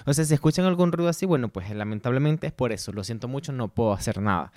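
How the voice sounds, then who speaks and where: A man's voice: mid-range voice, expressive, quick pace, a little mellow, no echo, clean studio sound. Joking Venezuelan man hosting a podcast. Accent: Venezuelan Spanish. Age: 30s. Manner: playful, self-mocking, laughs often. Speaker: podcast host. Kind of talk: casual solo podcast monologue. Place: home studio set.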